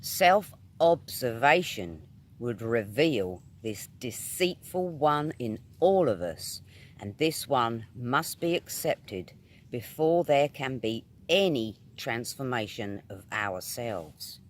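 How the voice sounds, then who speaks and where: A man with a British accent reading a spiritual essay aloud in a measured, emphatic, oratorical manner. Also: mid-range voice, very animated. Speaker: reader of metaphysical essays.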